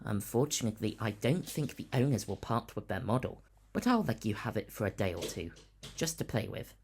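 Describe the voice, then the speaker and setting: A man playing a gentle, crafty elderly parish priest in an audio drama, speaking in a genial, formal way. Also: fairly low pitch, lively intonation, fairly quick, a little hiss or hum. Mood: calmly persuasive. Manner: genial, politely formal speech.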